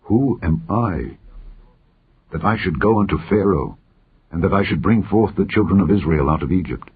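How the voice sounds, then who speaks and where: Guy reading a humble awed prophet asking earnest questions, low husky voice, bible audiobook, phone-like sound. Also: expressive, moderate pace, exaggerated voice. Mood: humble doubt. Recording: muffled phone-like narrow-band audio.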